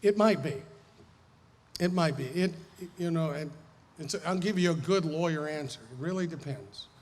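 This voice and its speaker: A man's voice: a little high, normal speed, darkish tone. A man with a Southern American accent giving an informal, folksy, explanatory seminar talk as a lawyer. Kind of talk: informal lecture with audience questions. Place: large hall, speaking to an audience. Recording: echoey hall, noisy background.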